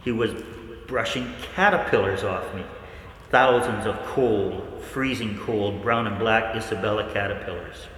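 A man acting a haunted scientist recounting cosmic horror, dramatically and deliberately, in a 1940s-style radio play. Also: lowish voice, fairly bright tone. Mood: haunted, brooding. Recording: echoey hall, long reverb, faint noise.

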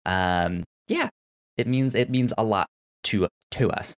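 A man co-hosting a podcast in casual TV recap chat, excitable and often stammering over repeated words. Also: on the low side, slow pace. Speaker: podcast co-host.

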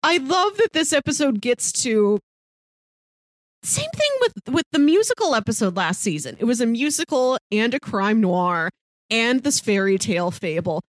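A woman's voice: a little high, sing-song, moderate pace, dry recording. A woman co-hosting a podcast in casual chat, talking with enthusiasm and strong opinions about what she watches. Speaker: podcast co-host discussing a TV series.

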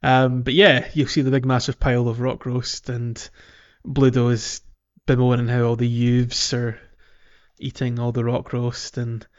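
A man's voice: mid-range voice, flat delivery, unhurried. A man co-hosting a podcast, chatting casually about gaming in a hesitant, rambling way. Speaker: podcast co-host. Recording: dull lo-fi audio, music behind.

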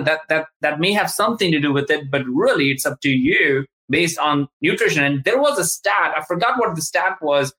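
A man's voice: fairly high pitch, bright, forceful tone, rough recording. A man hosting an interview, asking loose, rambling questions with many restarts. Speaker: show host. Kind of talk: casual interview chat.

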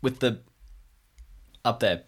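A man plays an anxious adventurer in an improvised audio drama. He is rattled and breathless, and his sentences break apart as he gropes for words. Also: mid-range voice. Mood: awkwardly grateful. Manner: halting, breathless, fragmented speech. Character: shaken, anxious local man in a deep-sea adventure.

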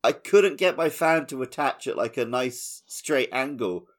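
A man's voice: medium pitch, lively intonation, unhurried. A man co-hosting a gaming podcast, chatting casually with a wry, conversational manner. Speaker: podcast co-host.

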